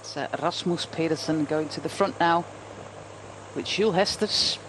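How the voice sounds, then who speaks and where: A woman's voice: sits low, measured pace, background noise. A woman in her forties commentating a bike race, chatty and anecdotal with many ums. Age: 40s. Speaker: cycling commentator. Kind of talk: live race commentary chat. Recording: commentary over loud race ambience.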